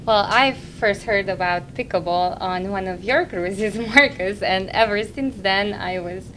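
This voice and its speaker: A woman's voice: mid-range voice, unhurried, pushed and bright. A woman hosts a podcast interview in a friendly way, putting questions to her guests and laughing often. A faint hum runs under her voice. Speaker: host.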